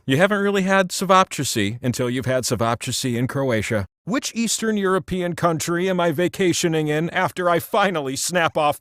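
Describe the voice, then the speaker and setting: High-voiced, swooping, self-important game-show wheel. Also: male voice, fairly bright tone, no room sound, clean studio sound. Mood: enthusiastic, teasing. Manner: playful, self-important, conversational asides. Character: giant all-knowing talking game-show wheel, boastful, chummy, fond of humans. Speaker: quiz game host. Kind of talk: theatrical, comic quiz-show patter.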